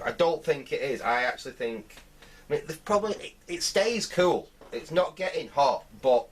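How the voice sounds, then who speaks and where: A man giving unscripted hands-on repair commentary, thinking aloud in a halting way as he works. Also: mid-range voice, recorded from a distance, slight background noise. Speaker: host of a vintage radio repair channel.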